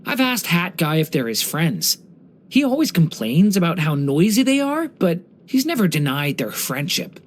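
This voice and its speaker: An actor voicing a gentle, earnest young dragon boy in a video game, speaking with soft-hearted wonder and care. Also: mid-range voice, sing-song, normal speed, a little hiss or hum, natural voice. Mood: admiring, musing. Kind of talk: scripted game character voice lines.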